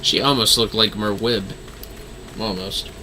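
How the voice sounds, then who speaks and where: Bright-voiced man streaming, joking offhand. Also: on the low side, steady tone, measured pace, a little hiss or hum. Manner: joking, offhand, muttered asides. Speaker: game livestreamer. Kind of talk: casual gaming commentary.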